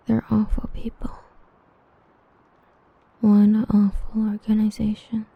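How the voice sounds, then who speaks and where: A woman playing an obsessive, possessive female villain in an ASMR roleplay, breathy and intimate with menacing devotion. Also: mid-range voice, dry recording, lo-fi sound, heavily acted. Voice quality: breathy, whispery. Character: obsessive yandere female villain, tenderly possessive, murderously protective.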